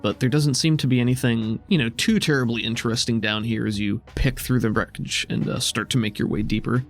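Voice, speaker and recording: A man's voice: medium pitch, steady tone, a little fast, faint music behind. A man running a tabletop game, improvising descriptive narration in a conversational way. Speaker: game master.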